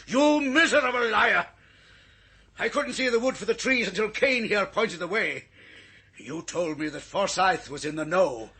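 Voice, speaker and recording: An actor playing a blustering, folksy older British politician in an old radio drama, raspy and rambling in manner. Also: male voice, high voice, lively intonation, a little slow, pushed and bright, full character voice. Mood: indignant. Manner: hesitant, rambling, self-deprecating bluster.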